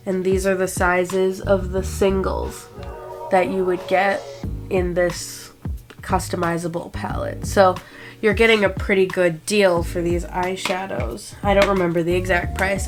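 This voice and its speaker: A woman in her twenties with a stuffed-up cold voice, chatting casually and self-deprecatingly while doing her makeup. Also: lowish voice. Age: 20s. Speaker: beauty vlogger. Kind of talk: casual makeup tutorial talk. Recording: music bed under the voice.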